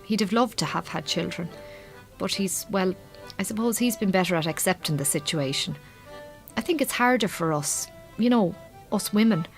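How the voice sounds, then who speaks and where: An actress playing a chatty, kindly middle-aged Irish farmer's wife in a radio drama, with an Irish accent and a frank, colloquial manner. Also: female voice, mid-range voice, normal speed, soft background music, natural voice. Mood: sympathetic, sad. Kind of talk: naturalistic radio drama acting.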